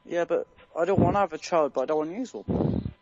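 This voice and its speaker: A man's voice: a little high, quick pace, a little mellow, a little hiss or hum, lightly acted. A man playing a naive, confused teenage boy on a prank phone call, hesitant and full of questions. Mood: naive, insistent. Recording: dull lo-fi phone audio.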